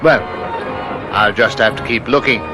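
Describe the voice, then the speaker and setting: An actor playing a sly, patient police inspector in a radio mystery, probing in a measured, falsely casual way. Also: male voice, fairly low pitch, music in the background, close to an everyday voice. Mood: sly, insinuating. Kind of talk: old radio mystery acting.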